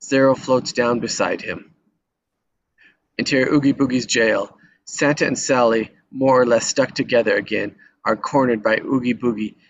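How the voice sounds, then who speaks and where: A man's voice: mid-range voice, fairly even intonation, normal speed, dull audio, slight background noise. A man reading scripted action descriptions as narrator at a screenplay table read.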